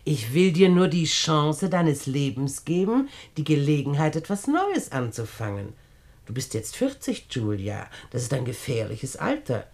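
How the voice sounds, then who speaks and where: Low-voiced, domineering old aunt. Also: female voice, sing-song, unhurried, darkish tone, exaggerated voice. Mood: coolly condescending. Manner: imperious, coquettish, theatrical. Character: domineering, sly, rich old widowed aunt. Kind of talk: German radio play acting.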